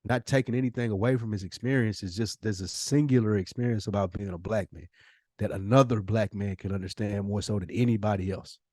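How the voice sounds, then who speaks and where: A man's voice: lowish voice, a little fast, darkish tone, no room sound, clean studio sound. An American man talking casually as a podcast co-host, informal and slangy, giving his opinions freely. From United States. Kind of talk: casual conversational podcast chat.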